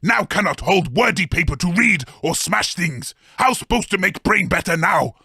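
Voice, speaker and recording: A man's voice: high voice, animated, normal speed, vocal fry, pushed and bright, breathy edge, no echo, studio quality, full character voice. A man voicing a doleful, dim, brutish monster with childlike broken grammar in an absurd radio comedy. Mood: sulky sorrow. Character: mournful, dim brutish big-headed monster.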